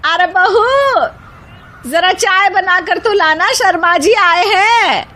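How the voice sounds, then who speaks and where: A woman's voice: very high-pitched, very slow, bright, forceful tone, voice pushed up, full character voice. A woman voicing a bossy, exasperated mother-in-law in a cartoon story, commanding and scolding. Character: bossy, exasperated mother-in-law ordering her household around. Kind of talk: animated moral-story character voice.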